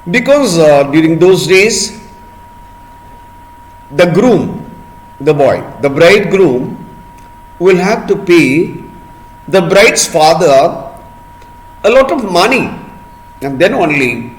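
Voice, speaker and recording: A man telling a folk tale to children in a deliberate manner, pausing often and repeating or explaining words as he goes. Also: high voice, expressive, poor audio. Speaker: storyteller for children. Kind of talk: storytelling for children, with word explanations.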